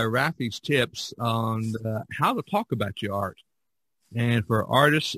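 An American man hosting a podcast casually, often pausing in the middle of his phrases. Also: lowish voice, fairly bright tone, dry recording. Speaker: podcast host.

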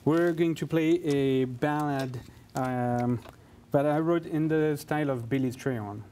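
A man from France in his thirties casually introduces music in a modest way. His voice is mid-pitched and mellow, with slight studio noise behind it. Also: measured pace. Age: 30s. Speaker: guest bandleader, saxophonist. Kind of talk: casual stage introduction of a tune.

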